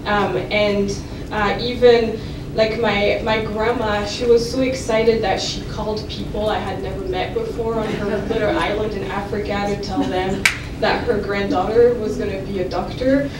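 A woman's voice: mid-range voice, steady tone, normal speed, few pauses. A young woman from France, a native French speaker, giving a chatty, self-deprecating lecture at a podium, with many fillers and restarts. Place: lecture hall with audience. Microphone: podium microphone heard from a distance. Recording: echoey room from far off, noisy.

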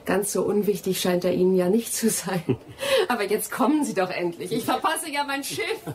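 An actress playing a spirited, warm and teasing young English lady traveller in a German radio drama. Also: medium pitch, big pitch swings, moderate pace, close to an everyday voice. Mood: teasing, eager.

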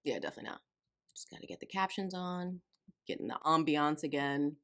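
A woman's voice: sits low, brisk. A woman in her thirties hosting a music reaction channel, musing casually and thinking aloud with small restarts, recorded in a small room. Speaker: K-pop reaction channel host. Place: small room with lit shelves of collectibles. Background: faint music video audio.

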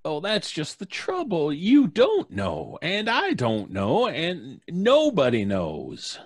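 An actor playing a crotchety, rattled small-town doctor in an old-time radio drama, blustery and folksy. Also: male voice, on the high side, wildly swooping pitch, takes it slowly, breathy edge, dry recording, studio quality, exaggerated voice. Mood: exasperated unease. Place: home recording, live remote video call.

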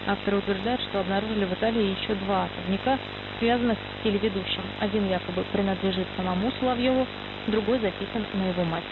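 A woman reads scripted news at a brisk pace. Her medium-pitched voice sounds thin and narrow over shortwave. Strong hiss and rumble cover the broadcast. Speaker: news presenter. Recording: phone-like shortwave radio, loud hiss.

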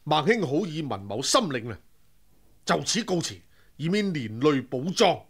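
A proud, fearless hero. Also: male voice, on the high side, very animated, normal speed, slightly rough, full character voice. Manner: stern, proud. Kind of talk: 1990s radio drama acting.

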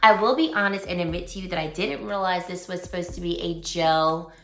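A chatty, upbeat woman in her forties, her voice a touch raspy as it comes back. She sits a little low for a woman. A roomy echo hangs around her words in a small home room. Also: a little fast, a bit bright, soft background music. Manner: chatty and upbeat, detailed product talk. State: slightly raspy from losing her voice. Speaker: beauty vlogger. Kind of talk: casual makeup review.

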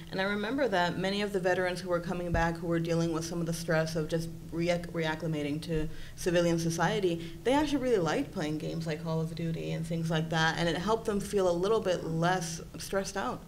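A woman from Puerto Rico, Spanish her first language, explains things earnestly at a panel table. Her voice sits on the low side, and a faint background hiss from the conference room hangs under it. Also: fast, few pauses. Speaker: panel speaker, psychology doctoral candidate. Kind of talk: convention panel talk. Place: hotel conference room with audience.

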